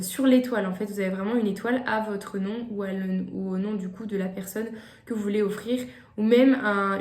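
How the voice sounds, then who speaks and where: A woman's voice: medium pitch, darkish tone. A young woman vlogging gift ideas in casual chat to the camera, hesitant, with many fillers and restarts. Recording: echoey room.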